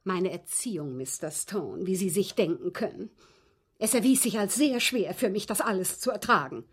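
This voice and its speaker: Husky-voiced genteel widow, aggrieved. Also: female voice, mid-range voice, expressive, moderate pace. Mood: indignant, distressed. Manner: precise, formal, dramatic phrasing. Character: genteel, aggrieved widow recounting her captivity. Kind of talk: German radio drama acting.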